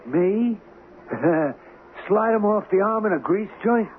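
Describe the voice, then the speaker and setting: High-voiced, slangy small-time gambler. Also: male voice, lively intonation, slow, phone-quality sound. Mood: pleading, incredulous. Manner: pleading, slangy, incredulous. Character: small-time gambling hustler, exasperated soft-hearted boyfriend. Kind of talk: 1970s radio drama acting. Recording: thin, noisy vintage radio recording.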